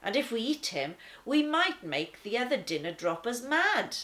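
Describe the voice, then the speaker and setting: A woman reading a children's story as a laid-back talking lion, conversational and wry, with dramatic pauses. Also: fairly high pitch, big pitch swings, sharp tone. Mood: reasoning. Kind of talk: first-person children's storybook narration.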